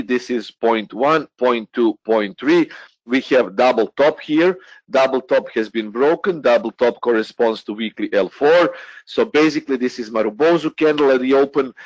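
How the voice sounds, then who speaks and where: A man's voice: mid-range voice, no echo. Man, day trader, hesitant, lots of fillers, dry muffled audio. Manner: hesitant, many "uh" fillers, walks through a chart. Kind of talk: explaining trading charts. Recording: dull lo-fi webinar audio, dry.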